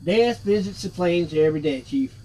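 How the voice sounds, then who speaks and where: A man's voice: high voice, expressive, moderate pace, dark tone, a little hiss or hum, rough recording. A man voicing a sardonic, wisecracking floating skull in a cheeky, sarcastic manner, reading game dialogue aloud. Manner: cheeky, sarcastic, street-talking.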